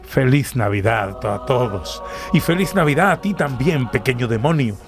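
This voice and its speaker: A man's voice: medium pitch, animated, faint music behind, lightly acted. A man playing an emotional middle-aged family man in a Christmas radio play. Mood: joyful. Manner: halting, emotional.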